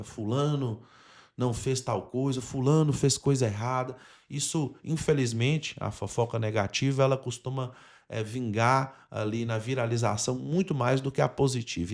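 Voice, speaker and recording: A man with a Brazilian accent hosting a podcast, explaining things earnestly and addressing listeners directly. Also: medium pitch. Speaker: podcast host and institute representative.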